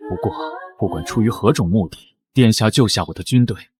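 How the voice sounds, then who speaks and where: A man's voice: lowish voice, moderate pace, a little mellow, lightly acted. A man playing a proud, stern and suspicious merman marshal in a fantasy drama, speaking formally and easily flustered. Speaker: voice actor in a fantasy short drama.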